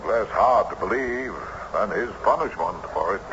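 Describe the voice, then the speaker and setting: An actor playing a formal period gentleman telling an eerie tale theatrically in an old radio drama. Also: fairly low pitch, expressive, normal speed, close to an everyday voice. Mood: suspenseful. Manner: formal, theatrical, suspenseful storytelling. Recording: noisy, muffled old radio recording.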